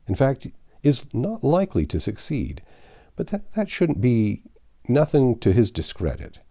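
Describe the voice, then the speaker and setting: A man's voice: medium pitch, huge pitch swings, a little slow, gravelly, dark tone, exaggerated voice. A man voicing a confident, easily exasperated Yankee in a novel reading, blunt, plain-spoken and boastful. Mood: self-assured. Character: confident, exasperated Yankee man stranded among medieval folk. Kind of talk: audiobook character dialogue.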